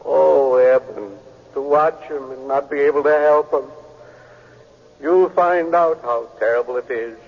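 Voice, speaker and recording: An actor playing the mournful ghost of a dead rancher, speaking solemnly and slowly with foreboding in an old radio western. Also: male voice, fairly high pitch. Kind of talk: old-time radio western drama acting. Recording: dull vintage radio recording.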